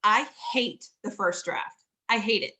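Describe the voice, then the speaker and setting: A middle-aged woman, a fantasy author, chatting casually about writing, laughing often and filling pauses with ums. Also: mid-range voice, pushed and bright.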